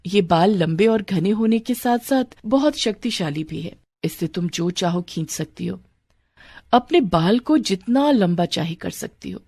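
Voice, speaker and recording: A woman's voice: mid-range voice, lively intonation, a little slow, warm tone, no room sound. Woman doing a gracious pond goddess. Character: kindly pond goddess, young female spirit.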